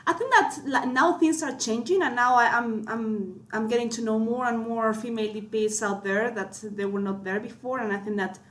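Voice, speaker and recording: A woman's voice: fairly high pitch, normal speed, hardly any pauses, fairly bright tone. A woman with a Spanish accent, a cinematographer guest chatting casually, full of fillers and restarts. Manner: hesitant, many fillers and restarts. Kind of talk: casual panel chat.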